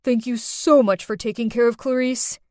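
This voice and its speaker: A woman's voice: fairly high pitch, fairly even intonation, moderate pace, dry recording, clean studio sound, close to an everyday voice. A woman voicing an anxious, fussing father who keeps checking on his daughter. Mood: grateful. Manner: concerned, gently pressing.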